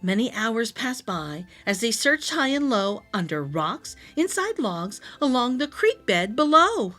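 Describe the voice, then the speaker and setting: A woman reading a rhyming picture book aloud to young children, expressively, with deliberate dramatic phrasing. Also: fairly high pitch, very animated, a bit bright, soft background music. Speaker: storytime reader.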